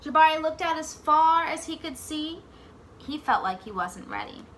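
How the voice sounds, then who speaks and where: A woman reading a picture book aloud like a teacher, expressively, pausing to put simple questions to young children. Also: high voice, expressive, fairly bright tone, a little hiss or hum. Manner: pauses to ask simple questions and echo the answers.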